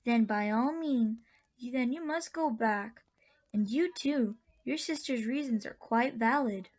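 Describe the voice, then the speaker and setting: Woman acting kindly, high-voiced old auntie. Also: a little slow. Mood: gentle, wistful. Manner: gentle, genteel, grandmotherly endearments. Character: kindly, gently firm old woman of a magical land. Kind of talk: radio drama acting.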